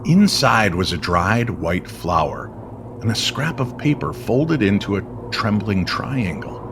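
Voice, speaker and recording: A man narrating an eerie story in old-time radio style, with dramatic, deliberate, suspenseful phrasing. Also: fairly low pitch, animated, noisy background.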